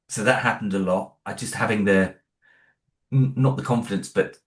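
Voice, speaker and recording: A man chatting in an interview about making music and sound for his audio drama, talking loosely with many fillers and restarts. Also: fairly low pitch. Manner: rambling, many fillers and restarts, self-deprecating. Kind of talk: casual interview chat.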